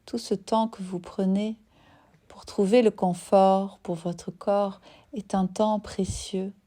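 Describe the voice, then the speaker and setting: A woman leads a guided yoga nidra relaxation as the teacher, soothing and unhurried, leaving long pauses between short phrases. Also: mid-range voice, fairly even intonation, warm tone. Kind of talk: guided meditation.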